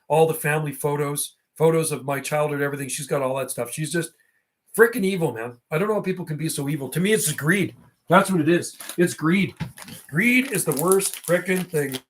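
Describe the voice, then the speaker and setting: A middle-aged man rambling casually on a livestream, talking in a slangy, reminiscing way. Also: fairly high pitch. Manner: slangy, reminiscing, often ends phrases with "man". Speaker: livestream host.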